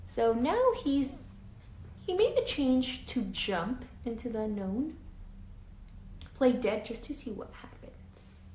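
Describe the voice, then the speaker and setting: A woman reading a picture book aloud to children as a teacher, dramatic and expressive, pausing to ask her listeners questions. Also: a little high. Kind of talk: animated children's read-aloud with asides to listeners. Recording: roomy echo with faint background noise.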